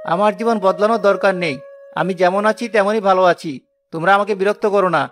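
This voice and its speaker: A man's voice: sits high, steady tone, a little fast, fairly bright tone. Man acting an earnest, honest poor porter. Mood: resolute. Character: poor, honest porter, a devout, steadfast husband and father. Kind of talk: Bengali cartoon moral-tale acting.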